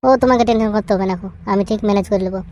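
A woman's voice: medium pitch, fairly even intonation, rapid-fire, mellow, close to an everyday voice. A woman voicing a lovelorn, stubborn young village man in a comic cartoon, with coarse slangy banter.

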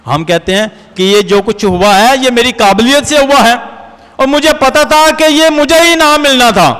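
A man's voice: very high voice, normal speed, fairly bright tone, smooth. A man in his thirties with a Pakistani Punjabi accent preaches a sermon emphatically as a priest, repeating phrases for effect. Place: large church, indoors at a pulpit. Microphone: gooseneck pulpit microphone. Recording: reverberant church hall.

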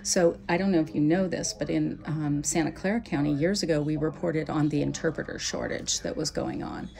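Woman interviewer, low gravelly voice, friendly and chatty. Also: steady tone, brisk, a little mellow. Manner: friendly, conversational. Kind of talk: street interview questions.

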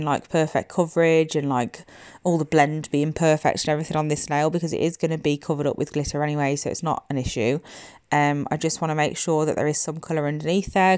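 A woman's voice: low-pitched, darkish tone, clean tone, dry recording, clean studio sound. A young woman giving a casual, chatty nail tutorial voice-over, sounding slightly congested from hay fever. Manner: chatty, informal. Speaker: nail technician making tutorials. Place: indoors, voice-over recorded in a room at home. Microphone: phone.

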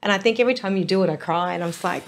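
Female interview host, 40s, deep voice, effusive and chatty. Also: rapid-fire, slight background noise. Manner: effusive, gushing, many affirmations. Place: bright studio set, indoors.

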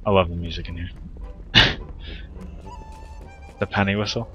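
A man's voice: low-pitched, flat delivery, unhurried, highs cut off. A man streaming a video game, chatting casually and thinking aloud as he plays. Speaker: gaming streamer. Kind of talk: casual gameplay commentary. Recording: game music playing loudly behind.

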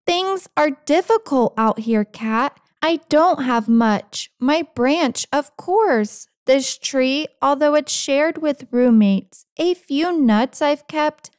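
A woman's voice: high-pitched, expressive, slow, few pauses, dry recording, clean studio sound. A woman voicing an indignant, scolding wild squirrel with an emphatic, preachy manner in a picture-book read-aloud. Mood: scolding, exasperated.

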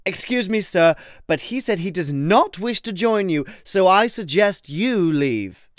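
Woman acting a timid, expressive little plant creature. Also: medium pitch, a little slow, no echo, clean studio sound, close to an everyday voice. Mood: nervously brave. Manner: fretful, earnest, polite. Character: timid, chatty, naive small plant-folk Sylvari. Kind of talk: audio drama acting.

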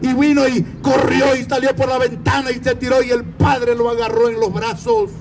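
A man's voice: extremely high pitch, flat delivery, normal speed, slight background noise. A man with a Latin American Spanish accent preaching an evangelistic sermon in a fervent, declamatory, exhorting manner.

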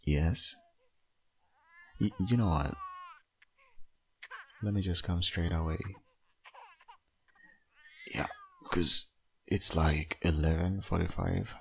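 Man acting as deep, gravelly, sleepy caring dad. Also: takes it slowly, long pauses, a bit bright, heavily acted, poor audio. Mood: calm, reassuring. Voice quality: low, gravelly, husky. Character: caring young father, sleepy ex-boyfriend, gentle and soothing. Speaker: ASMR roleplay performer. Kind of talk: boyfriend ASMR audio roleplay.

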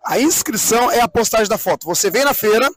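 A man's voice: high-pitched, lively intonation, fast. A middle-aged man speaks. He explains things in an interview, often repeating himself and asking rhetorical questions. The sound is dry, with no echo. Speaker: event president being interviewed. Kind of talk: live outdoor interview, explanatory talk. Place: outdoors on a wooden swing in a park.

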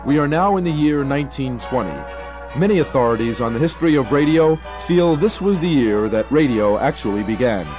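A man's voice: mid-range voice, fairly quick, over loud music. A man from New York with an American accent, reading scripted radio documentary narration in a 1970 style. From New York, United States.

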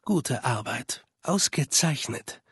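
A man voicing a cold, calculating spymaster of a legion in a video game, speaking in a formal, measured, condescending way. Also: medium pitch, animated, fairly bright tone, breathy edge, dry recording, clean studio sound, heavily acted. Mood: businesslike. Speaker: game character voice actor. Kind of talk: dubbed video game dialogue.

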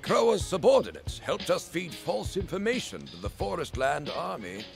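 A man's voice: fairly high pitch, sing-song, normal speed, gravelly, soft background music. A man playing an assured older resistance strategist in a video game cutscene.

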